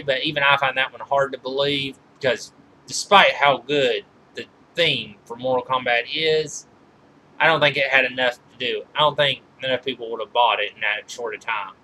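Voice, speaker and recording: Bright-voiced man reviewing movies, noisy recording. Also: medium pitch. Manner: halting, often corrects himself mid-sentence. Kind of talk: informal film trivia commentary.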